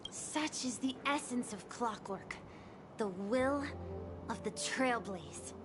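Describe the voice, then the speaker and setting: Gentle, slow-spoken young boy. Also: on the high side. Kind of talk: video game voice acting.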